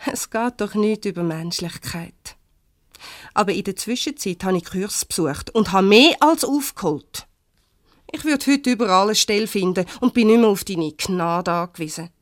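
An actress playing a defiant, quick-tongued young employee in a radio play, sharp and sarcastic, with a Swiss German accent. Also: female voice, mid-range voice, dry recording, studio quality, lightly acted.

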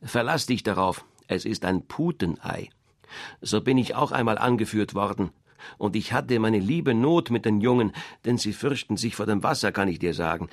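A man's voice: on the low side, normal speed, dry recording, studio quality. A man reading a fairy tale aloud, voicing an old, know-it-all visiting duck who gives advice. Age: older.

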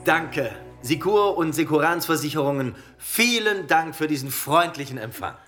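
An actor playing a smug, slick new logistics boss who gives a pompous, jargon-heavy speech in a satirical radio play. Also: male voice, fairly high pitch, lively intonation, moderate pace, a bit bright, soft background music. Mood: self-satisfied.

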